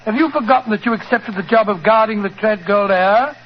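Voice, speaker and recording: An actor playing a loyal, easily baffled British gentleman in a blustering 1940s radio drama style. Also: sits high, brisk, close to an everyday voice. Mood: exasperated. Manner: blustering, indignant. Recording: muffled, noisy 1940s radio recording.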